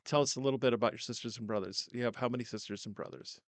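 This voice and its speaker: An older man hosting a casual interview in a small studio, talking loudly and coaxingly into a directional mic. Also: medium pitch, racing, no room sound. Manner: loud, coaxing, chatty. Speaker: host.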